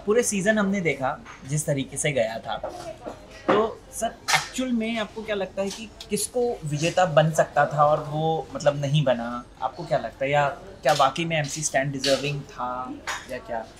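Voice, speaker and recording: A man's voice: a little high, animated, normal speed, very noisy. A man with an Indian accent asking conversational interview questions in a deferential, somewhat hesitant way.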